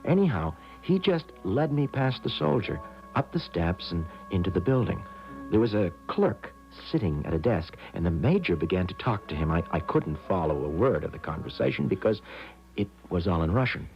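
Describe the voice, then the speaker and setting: A man's voice: sits low, big pitch swings, normal speed. An actor playing a shy, trusting young man with a hesitant, earnest manner in a 1970s radio drama. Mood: earnest recounting. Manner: hesitant, earnest, slightly stammering. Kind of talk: 1970s radio drama acting, first-person storytelling. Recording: dull vintage radio recording, music behind.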